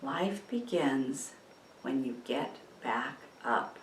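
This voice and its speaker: A woman leading a children's church lesson, reflecting gently and ponderingly on a story, with pauses mid-phrase. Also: rumbling low, expressive. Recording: echoey room with faint background noise.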